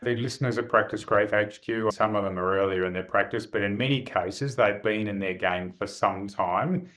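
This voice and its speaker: Upbeat podcast host. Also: male voice, fairly low pitch, a bit bright. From Australia. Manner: brisk, upbeat question-asking. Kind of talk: interview podcast.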